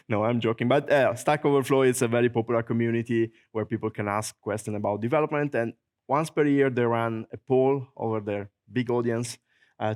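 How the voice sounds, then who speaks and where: A man with an Italian accent giving a technical conference talk, joking often, chuckling at his own jokes and filling pauses with many uhs. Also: medium pitch. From Italy. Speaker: conference presenter. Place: conference hall.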